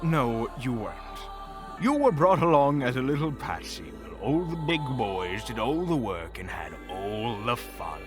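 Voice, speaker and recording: An actor playing a vain, easily flustered evil sorcerer in a fantasy audio drama, pompous and grandiose with dramatic pauses. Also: male voice, medium pitch, very animated, over music. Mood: menacing, mocking. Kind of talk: fantasy audio roleplay acting.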